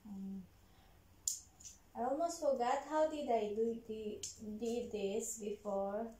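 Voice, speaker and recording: A woman in her thirties from the Philippines with a Filipino accent, whose first language is Tagalog, vlogging casually at home in halting English, giggling and searching for words, recorded by a camera across her living room. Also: a little high, mellow. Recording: echoey room with faint noise.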